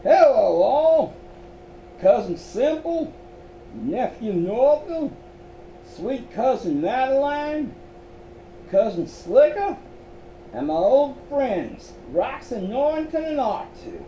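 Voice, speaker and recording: A man's voice: extremely high pitch, sing-song, slow pace, pauses often, warm tone, pitched above the performer's own voice, full character voice. A man voicing an eccentric old male colonel from the American South, speaking from a phonograph record in a drawling, theatrical way with a Southern accent. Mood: jovial. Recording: echoey room, faint noise.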